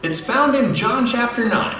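A man's voice: very high voice, expressive, unhurried, pushed and bright, full character voice. A man voicing a patient, upbeat puppet teacher, instructive and emphatic as he corrects his partner in scripted dialogue. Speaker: co-host of a question-and-answer show. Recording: echoey hall, distant from microphone.

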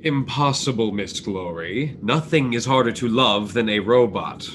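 A man's voice: mid-range voice, a little slow, lightly acted. A man acting a blunt, dismissive robot expert in a radio drama. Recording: clearly noisy live drama recording.

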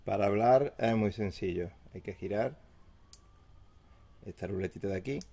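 A man's voice: lowish voice, moderate pace, dark tone. A man giving a casual, step-by-step unboxing review, explaining plainly as he goes. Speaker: product reviewer. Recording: clear background noise with handling crinkles.

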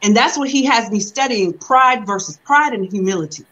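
A young woman preaching a sermon over a video call from home, reading scripture slowly and then explaining it in relaxed preaching talk. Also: a little high, low-quality recording. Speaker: reverend preaching. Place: room at home. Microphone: video call webcam.

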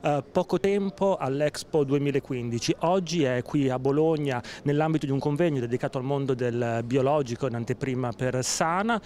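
A man's voice: medium pitch, darkish tone. A man in his thirties interviewing a guest on camera outdoors with a handheld reporter microphone. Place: outdoor courtyard.

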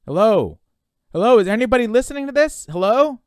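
A man voicing a terrified, desperate boy in a dramatic audiobook, with a halting, urgent, shouting delivery. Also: very high voice, sing-song, smooth, no echo, clean studio sound, voice pushed up. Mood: anxious calling. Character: terrified boy in a failing plane, desperate, pleading.

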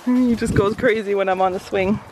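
A woman in her thirties talks in a casual, chatty vlog style at a medium pitch. Her phrasing is informal and peppered with filler "like". Clear background noise runs behind her voice. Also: fairly even intonation, fairly quick. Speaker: vlogger. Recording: clearly noisy, car and outdoor ambience.

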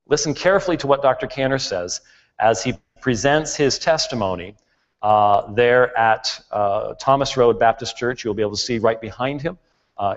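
Man lecturing in a reverberant hall. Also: medium pitch. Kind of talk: live church presentation, explanatory lecture. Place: large church auditorium, at a pulpit. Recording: dull, reverberant large-hall sound.

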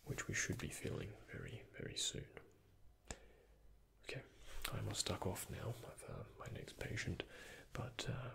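A young man speaking in a breathy, hushed ASMR whisper, gently and unhurriedly, close to the microphone as in a doctor roleplay. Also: fairly low pitch, wildly swooping pitch, measured pace. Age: young adult. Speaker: ASMR creator playing a doctor. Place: indoors, close before a dark backdrop.

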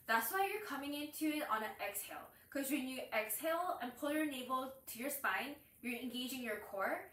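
A woman's voice: a little high, fairly bright tone. Young woman teaching yoga in echoey room. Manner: explains while demonstrating poses, cueing breaths. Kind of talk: instructional yoga explanation. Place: small room with curtains and yoga mat, indoors. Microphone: far camera. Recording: echoey room, far from mic.

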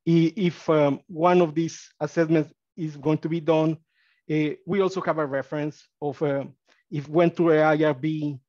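A man's voice: a little high, dry recording. A man from Mexico with a Spanish accent, presenting college data on a webinar, with frequent fillers and restarts. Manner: halting, many fillers and restarts, gets excited. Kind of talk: webinar presentation with screen share. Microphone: computer mic on video call. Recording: dry, slightly dull video-call audio.

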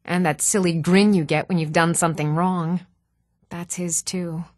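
A woman's voice: fairly low pitch, fairly even intonation, normal speed, no echo, clean studio sound, close to an everyday voice. A woman playing a plain-spoken, hardworking and motherly townswoman in a fantasy video game. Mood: conversational, firm. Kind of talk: scripted fantasy game dialogue.

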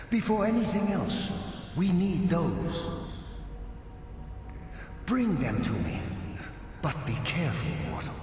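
A grave spectral tomb guardian from a fantasy game. He speaks slowly and solemnly, with sweeping intonation, his words ringing through a cavernous reverb. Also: a little high. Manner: grave, slow, deliberate delivery. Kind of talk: video game character dialogue. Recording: cavernous echo, long reverb, faint noise.

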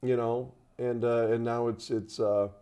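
An older man in a conversational, halting studio sports discussion, often repeating words as he makes his point. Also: mid-range voice, level tone, dark tone, clean tone. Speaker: radio play-by-play commentator.

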